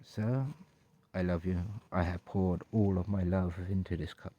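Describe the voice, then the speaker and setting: Man putting on a posh, low-voiced English butler. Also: steady tone, a little slow, mellow, muffled recording. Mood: tender, languid. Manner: formal, polite, servile. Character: posh, courteous British butler named Grim, gently devoted.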